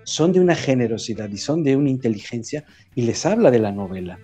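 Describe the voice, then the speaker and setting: A man with a Mexican accent, a writer answering interview questions in a reflective, halting way with frequent restarts. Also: mid-range voice, very animated, dark tone, faint music behind. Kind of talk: conversational interview.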